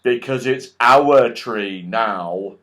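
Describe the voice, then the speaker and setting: A man voicing a wicked red goblin in a children's storybook, loud, domineering and gloating. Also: mid-range voice, drawn-out words. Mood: menacing, gloating. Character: wicked grinning red goblin, rough bully.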